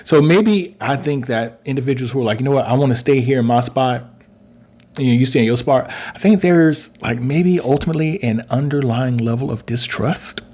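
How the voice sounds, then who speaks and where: A man in his forties co-hosting a relationship talk and working through his ideas out loud. He is polite and easygoing and often stops for "um" and "you know". His voice sits at a middle pitch for a man. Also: fairly quick. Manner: thinks aloud with many fillers, polite. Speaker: relationship coach, co-host. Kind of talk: casual conversational chat.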